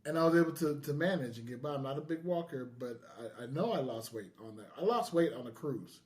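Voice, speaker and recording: A man's voice: fairly high pitch, lively intonation, quick pace, a little mellow. A man co-hosting a casual podcast chat, talking in an enthusiastic, effusive way. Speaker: podcast co-host.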